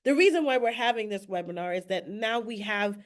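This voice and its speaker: A woman's voice: medium pitch, expressive, fairly quick. A woman moderating a webinar, asking panelists follow-up questions, stumbling a little over words.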